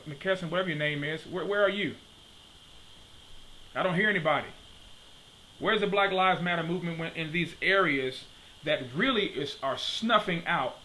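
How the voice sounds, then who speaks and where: A man's voice: fairly high pitch, pushed and bright, slight background noise, poor audio. A man in his thirties from Detroit, giving an emphatic preaching-style commentary to a webcam in his home study and repeating his questions for force. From Detroit, USA. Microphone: webcam at desk.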